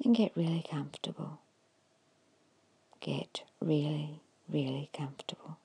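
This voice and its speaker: A woman giving an ASMR guided hypnosis in a hushed, breathy whisper, slowly and soothingly instructing with long pauses. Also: sits low, level tone, dry recording. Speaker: hypnotist guiding a meditation.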